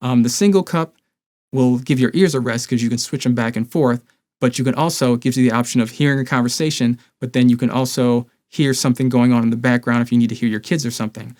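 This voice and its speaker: A man's voice: medium pitch, fairly even intonation, quick pace, a little mellow. A man in his thirties presenting a scripted explainer to camera as a review host. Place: small indoor home studio.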